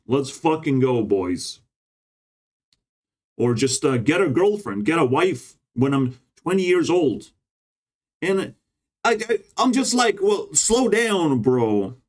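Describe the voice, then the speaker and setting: Casting: man, meandering casual monologue, profane, drops "bro" often, erratic pitch swings. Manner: meandering, swears often, ends phrases with "bro". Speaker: vlogger.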